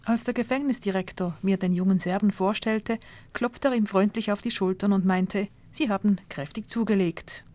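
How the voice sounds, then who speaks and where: A woman reporter reads a news feature in a measured, descriptive way. Her voice sits at an ordinary middle pitch, steady and even. The delivery is composed and scripted throughout. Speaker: reporter narrator.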